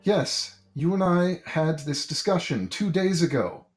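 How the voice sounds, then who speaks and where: A man's voice: fairly high pitch, animated, measured pace, soft background music, pitched above the performer's own voice. A man voicing a stern, irritated king who dismisses petitioners at court. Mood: curt, impatient. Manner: curt, dismissive, impatient.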